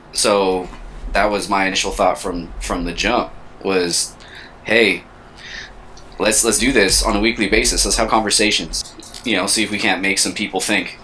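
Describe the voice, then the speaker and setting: Man, 30s, very deep voice, bright tone, reflective, co-host. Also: slight background noise, low-quality recording. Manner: reflective, searching for words. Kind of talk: casual chat.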